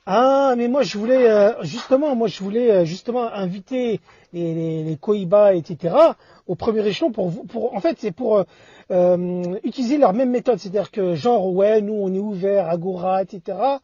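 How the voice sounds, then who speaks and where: A man chatting casually in a hesitant, halting way, repeating words as he goes. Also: sits high, mellow, slightly rough, no room sound, highs cut off. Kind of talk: casual conversation.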